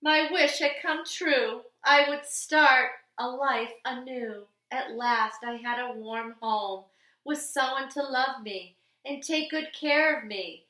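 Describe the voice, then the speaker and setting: A woman reading a children's picture book aloud like a teacher, with an expressive, deliberate, sing-song delivery on the rhymes. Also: sits high, reverberant, poor audio. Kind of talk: animated children's storybook reading.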